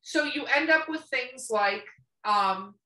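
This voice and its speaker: Blunt sweary podcast lady, high voice, kinda far from the mic in an echoey room. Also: lively intonation, takes it slowly, sharp tone. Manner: blunt, emphatic, swears freely. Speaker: podcast co-host. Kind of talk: casual opinionated podcast chat. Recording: roomy echo, distant from mic.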